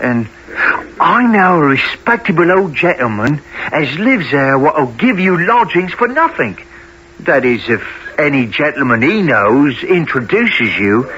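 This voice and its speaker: Man playing a cocky, bright-voiced street boy pickpocket. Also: on the high side, full character voice. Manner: slangy, swaggering, mock-genteel. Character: Artful Dodger, cocky streetwise teenage boy pickpocket, friendly. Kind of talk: family radio play acting. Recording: dull lo-fi with faint noise.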